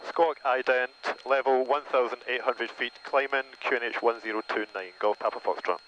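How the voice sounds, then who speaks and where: A man's voice: mid-range voice, steady tone, moderate pace, a bit bright. A man piloting a light aircraft, making clipped, formulaic radio calls and reading back instructions over an aviation headset. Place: small propeller aircraft cockpit. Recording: dull, noisy cockpit intercom. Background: engine drone.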